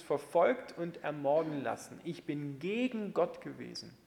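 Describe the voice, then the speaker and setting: A man delivering a sermon as a preacher, in an emphatic, rhetorical manner that swings between forceful and hushed. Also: sits high. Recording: long hall reverb.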